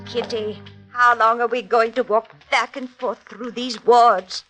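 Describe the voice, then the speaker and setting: An actress playing an anxious woman reluctantly helping the resistance, worrying and expressive, in an old-time radio drama. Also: a little high, unhurried, over music, close to an everyday voice, poor audio. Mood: alarmed, exasperated.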